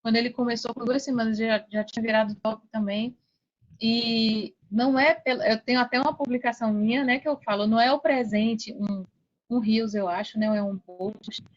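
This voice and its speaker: Brazilian woman, higher-pitched, earnest and emphatic. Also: steady tone, moderate pace, highs cut off. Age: 40s. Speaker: podcast guest, affiliate marketing coach. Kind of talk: casual motivational interview talk.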